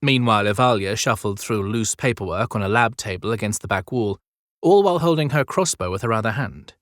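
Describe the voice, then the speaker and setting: A man's voice: on the low side, animated, a little fast, fairly bright tone, dry recording, clean studio sound. A man narrating a scripted adventure story as an audiobook narrator.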